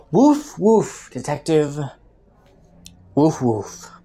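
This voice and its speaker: Woman acting a low-voiced, flirty, taunting criminal. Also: sing-song, very slow, exaggerated voice. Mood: mocking. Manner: playful, teasing drawl with frequent soft chuckles. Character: flirty, taunting underworld criminal teasing a detective. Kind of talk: flirty roleplay audio acting.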